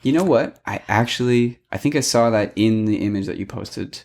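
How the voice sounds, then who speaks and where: A man's voice: on the low side, moderate pace, darkish tone. A man hosting a podcast, chatting casually and teasing his guest with dry jokes. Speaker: podcast host.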